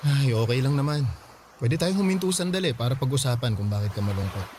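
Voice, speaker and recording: A man's voice: medium pitch, animated, quick pace, noisy background, close to an everyday voice. A man playing a kind young boyfriend. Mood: gentle. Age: young adult. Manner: gentle, reassuring.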